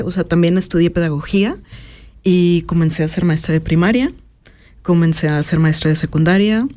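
A woman, talking thoughtfully as an interview guest and pausing often with filler sounds. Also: fairly low pitch, warm tone. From Mexico. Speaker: interview guest, artist and educator. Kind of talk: personal reflective conversation.

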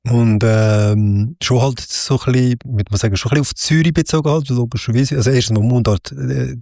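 A man with a Swiss German accent, hosting a relaxed interview at a mid pitch. He talks in a chatty way and keeps checking in with a quick "oder?". The sound is dry and close to the mic. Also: gravelly, no room sound. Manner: chatty, ends points with "oder?". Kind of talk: casual interview.

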